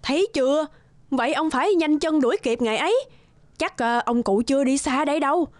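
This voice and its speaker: A woman's voice: sits high, animated, fairly quick, a little mellow, no room sound. A woman playing a bossy, exasperated middle-aged wife in a children's fairy-tale cartoon, nagging and scolding. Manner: scolding, exclamatory.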